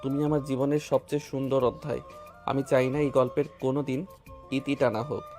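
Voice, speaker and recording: A man voicing a devoted, earnest young lover with a tender, even delivery in a romantic audio drama. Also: mid-range voice, brisk, soft background music, natural voice. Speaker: voice actor.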